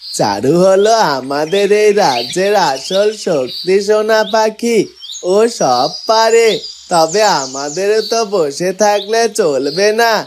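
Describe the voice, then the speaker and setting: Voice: mid-range voice, animated, slow, noisy background. A performer voicing a brave, protective male horned farm animal in a fairytale cartoon, speaking earnestly and loyally. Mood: earnest, reassuring. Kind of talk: cartoon character dialogue. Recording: loud music bed behind the voice.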